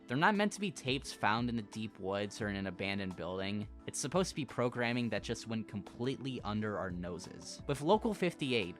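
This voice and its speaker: A man reading scripted video essay narration in an explanatory, measured way. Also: on the low side, fairly bright tone. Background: background music.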